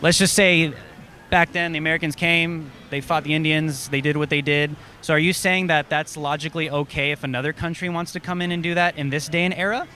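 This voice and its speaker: Man, confrontational street interviewer, slightly raised pitch, outdoors. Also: steady tone, brisk, pushed and bright, faint music behind. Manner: pushy, probing questions.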